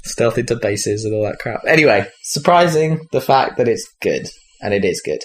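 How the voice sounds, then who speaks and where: A man's voice: mid-range voice, lively intonation, normal speed. A man chatting casually about video games as a podcast host, rambling and hesitant with frequent swearing. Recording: clearly noisy background.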